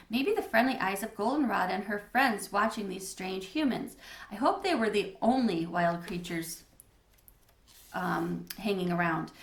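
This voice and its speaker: A woman's voice: mid-range voice, a bit bright. A woman reading a children's storybook aloud as narrator in an echoey room. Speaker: read-aloud reader.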